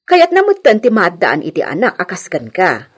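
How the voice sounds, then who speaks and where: Radio drama role: frank older Ilocano mother, lowish husky voice, expressive. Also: moderate pace, exaggerated voice. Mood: amused.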